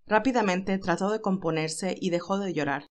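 A woman's voice: mid-range voice, normal speed. A woman reading a scripted romantic story as its narrator, in a dramatic storytelling style.

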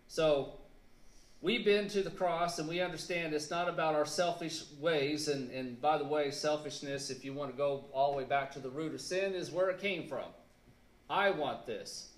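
A man in his thirties preaching a sermon in a church on a handheld mic, earnest and halting with fillers, leaning hard on key words. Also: a little high. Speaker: pastor. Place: church sanctuary, indoors. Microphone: handheld mic, filmed by a camera across the room. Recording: echoey church room.

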